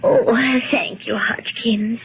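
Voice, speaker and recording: A high-voiced, genteel Victorian spinster in an old radio play. She gushes and flutters grandly like a society hostess of the 1890s. Light noise sits on the vintage recording. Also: steady tone, takes it slowly. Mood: gracious. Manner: fluttery, gushing, theatrical. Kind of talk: 1940s radio acting. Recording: noisy 1940s radio recording.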